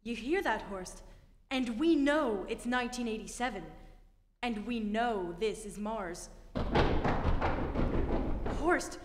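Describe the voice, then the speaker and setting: A woman's voice: on the high side, slow pace, pauses often, faint music behind. Woman acting a commanding captain in an echoey hall. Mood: tense, insistent. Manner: authoritative, insistent, emphatic. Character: commanding, skeptical spaceship captain on Mars. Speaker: amateur theatre actor. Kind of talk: old-time radio play stage acting. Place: theatre stage before an audience. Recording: echoey hall, live stage performance.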